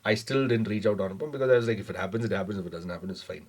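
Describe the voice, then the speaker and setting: A man, a startup founder on a podcast, telling his story in a measured way with lots of fillers and hedges. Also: lowish voice. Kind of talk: casual interview storytelling.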